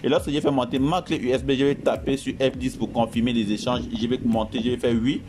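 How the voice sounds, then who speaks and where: A man's voice: mid-range voice, little pitch movement, quick pace, noisy background. A man who does not speak French as his first language, talking viewers through a computer setup in a casual, reassuring voice-over and calling them his friends. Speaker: tech tutorial host. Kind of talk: screen-recorded tutorial walkthrough. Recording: voice-over with loud background music.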